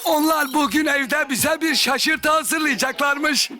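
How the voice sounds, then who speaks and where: A kindly old cartoon grandfather talks slowly in a high-pitched voice. He is affectionate and patient with little ones, with music behind him. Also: sharp tone, exaggerated voice. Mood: warm. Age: older. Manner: doting, patiently explaining. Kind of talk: preschool cartoon acting.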